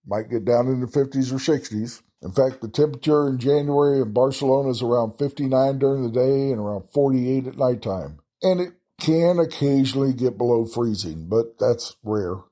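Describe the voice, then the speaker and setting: Texan guy chatting travel tips, folksy and easygoing, kinda husky. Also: mid-range voice, normal speed, hardly any pauses, mellow. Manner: folksy, chatty, self-deprecating asides. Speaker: travel podcast host. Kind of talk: casual solo travel talk.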